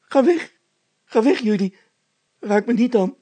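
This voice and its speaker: A man voicing a stubborn, hot-tempered housekeeper woman in a storybook, shrill and vehement, repeating herself. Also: lively intonation, unhurried, warm tone, no echo, heavily acted. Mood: frightened, furious.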